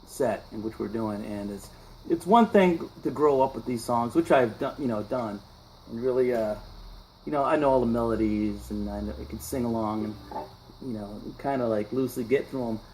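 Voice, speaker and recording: A man in his fifties chatting casually in a radio interview, reflective and halting, often restarting his phrases as he thinks aloud. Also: medium pitch, darkish tone, slight background noise, low-quality recording. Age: 50s. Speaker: musician, interview guest. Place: radio broadcast session.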